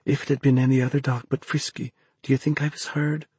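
A man voicing a feisty, bossy girl who is protective of her dog, scolding in a hushed way. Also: medium pitch, a little fast, husky, dry recording. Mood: apologetic, hushed.

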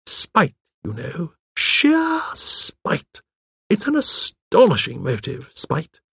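Male reader as an old village lady, high, swooping, prim, gossipy, shrewd, storybook dialogue. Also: wildly swooping pitch, slow pace, creaky, bright, forceful tone, husky, no echo, full character voice. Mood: musing, gossipy. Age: older. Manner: prim, musing, digressive. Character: gentle, shrewd, gossipy elderly English village spinster. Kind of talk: audiobook character dialogue.

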